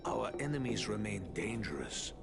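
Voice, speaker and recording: An actor playing a wise elderly mutant rat father in a cartoon game, formal, deliberate and grave. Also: lowish voice, big pitch swings, creaky, full character voice. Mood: solemn counsel. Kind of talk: cartoon video game acting. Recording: loud music behind the voice.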